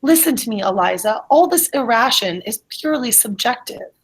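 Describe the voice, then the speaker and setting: A husky, dismissive middle-aged gentleman, read by a woman in an amateur readers' theatre piece. He talks down in emphatic, old-fashioned phrases, brusque and sure of himself. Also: a little high, lively intonation, normal speed.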